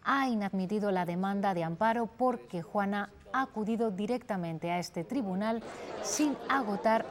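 A woman in her thirties anchors the evening news from a studio desk. Her delivery is clear and steady, with a middle pitch and even rhythm. She sounds composed and professional throughout. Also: moderate pace, background noise. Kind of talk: read news presentation.

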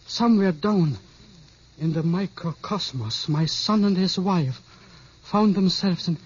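Casting: grave older doctor in mourning, very measured delivery, muffled vintage radio drama. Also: male voice, high voice, husky. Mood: grave explaining. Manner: halting, weighty, dramatic. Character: grave, grieving older male scientist and father. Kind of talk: 1950s radio drama acting. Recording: muffled, humming old radio recording.

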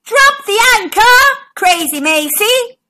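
An excitable pirate captain from a storybook, acted out by a woman, bold and commanding. The voice is high, bright and very lively, with emphatic delivery. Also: slow, heavily acted.

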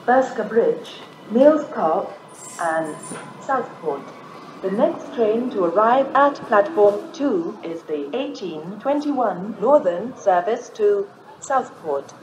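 Slow, echoey British female station announcer. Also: medium pitch, background noise. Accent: British English. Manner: measured, formal, pieced-together list phrasing. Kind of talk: automated train announcements, scripted.

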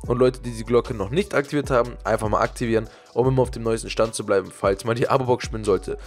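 A young man with a cold-roughened, lowered voice chatting casually to his viewers over gameplay, with frequent fillers. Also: fairly even intonation, soft background music. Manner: fast, chatty, many fillers. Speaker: gaming YouTuber.

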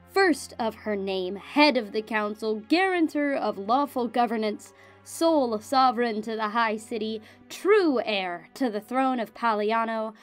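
A woman playing a cool, haughty royal spy reading a formal, measured, disdainful report in an audio drama. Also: on the high side. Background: music under the voice.

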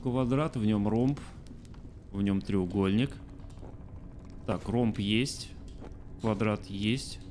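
A man in his thirties with a lowish voice, talking through a game stream as he plays. He thinks aloud in a loose, chatty way and answers his viewers as he goes. Faint game sounds sit quietly behind him. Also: takes it slowly, pauses often, slight background noise. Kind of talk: casual let's-play commentary. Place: small room indoors. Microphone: studio mic on arm by face.

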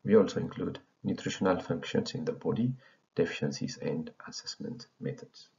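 A man's voice: low-pitched, moderate pace, gravelly, mellow. A man from Ethiopia in his thirties, founder of an educational channel, reading a slide presentation in a formal lecturing tone. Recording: dull lo-fi voice recording.

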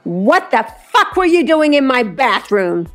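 A woman voicing a tipsy, feisty, dramatic woman in an audiobook, emphatic, exclamatory and quick to outrage. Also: high voice, sing-song, soft background music, voice pushed up. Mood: furious. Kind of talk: audiobook character dialogue.

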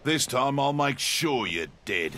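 An actor playing a cocky, sleazy Australian mercenary crime boss in a video game, taunting in thick slang over music. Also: male voice, medium pitch, expressive, slow, sharp tone. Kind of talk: video game character dialogue.

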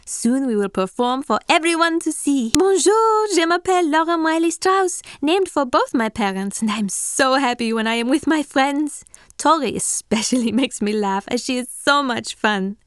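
A woman's voice: sits high, lively intonation, normal speed, no room sound, clean studio sound. A woman playing an artistic, sweetly enthusiastic teenage girl in a video game. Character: warm, artistic, cosmopolitan teenage girl painter. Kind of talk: scripted game character lines.

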